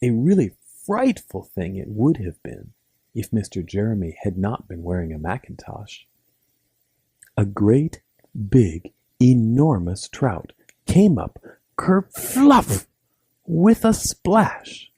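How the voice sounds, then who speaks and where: Male storyteller for children's bedtime tales: low, gravelly, scripted narration, recorded dry. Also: big pitch swings, slow, warm tone, no echo.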